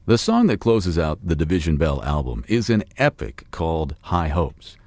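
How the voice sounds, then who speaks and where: Low-voiced radio dj guy, bouncy scripted links, dry studio sound. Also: normal speed, no room sound. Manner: lively, promotional delivery. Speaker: radio host.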